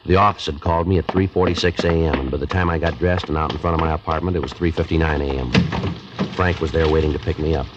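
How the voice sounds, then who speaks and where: An actor playing a terse police detective, deadpan and matter-of-fact, in a 1950s radio crime drama. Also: male voice, a bass voice, fast, heavy background noise. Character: terse, businesslike male police detective sergeant narrating. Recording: dull vintage radio recording.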